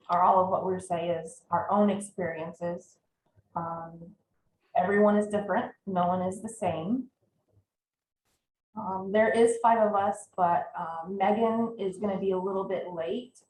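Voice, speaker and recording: A woman in her forties hosting a live podcast chat, talking in a halting way with many filler ums. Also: fairly low pitch, a little mellow, a little hiss or hum. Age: 40s. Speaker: podcast host. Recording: echoey room with faint ambience.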